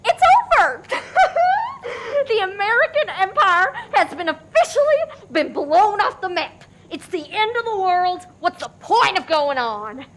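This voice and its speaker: Woman acting a shrill, doom-laden nihilist. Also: big pitch swings, measured pace, a bit bright, a little hiss or hum, full character voice, low-quality recording. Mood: manic despair. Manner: melodramatic, morbidly gloomy. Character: despairing, doom-laden young woman named Joy, bleakly nihilistic. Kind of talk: stage-style farce radio play acting.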